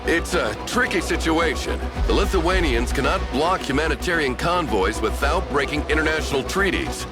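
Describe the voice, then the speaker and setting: Loud music plays behind a cautious, worldly adviser played by a man. The voice is on the high side for a man. He explains and cautions with measured insistence, in the manner of a military game briefing. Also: expressive, close to an everyday voice. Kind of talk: dramatic video game voice acting.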